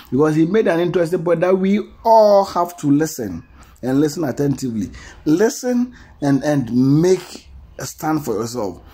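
Husky-voiced male commentator. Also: a little high, mellow. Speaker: commentator introducing an interview clip. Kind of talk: informal news commentary.

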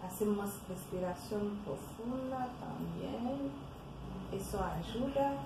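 A young woman from Haiti, speaking with a Haitian accent, talks slowly through a home workout, tired and puffing between her cues. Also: medium pitch, expressive. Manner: slow, breathy puffing between exercise cues. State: tired from exercising. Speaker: fitness vlogger. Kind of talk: casual home workout instruction. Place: living room at home. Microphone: camera on the floor nearby. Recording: echoey room with background noise.

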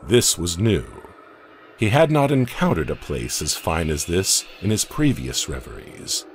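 A man narrating a dark audio comic story in a scripted, dramatic way, often dropping to a hush at the ends of lines. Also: sits low, big pitch swings, slight background noise. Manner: slow, dramatic storytelling with hushed drops.

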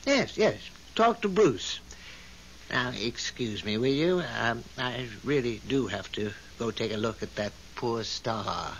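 Flustered, fussy male deity, animated pitch, exasperated radio-play delivery, dull hissy old recording. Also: slow. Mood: fussy, brisk. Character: flustered, fussy God, put-upon elderly male deity. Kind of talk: 1970s radio drama acting.

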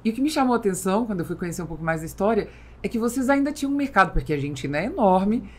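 A woman's voice: medium pitch, lively intonation, racing. A woman in her thirties with a Brazilian accent, hosting a conversational business podcast interview in a studio. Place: small foam-padded podcast studio. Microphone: studio microphone on boom arm.

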